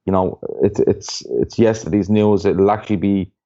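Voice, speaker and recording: A man hosting a casual football podcast, relaxed and loose as he guides the talk. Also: on the low side, normal speed. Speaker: podcast host. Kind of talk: casual chat. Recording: dull lo-fi podcast audio.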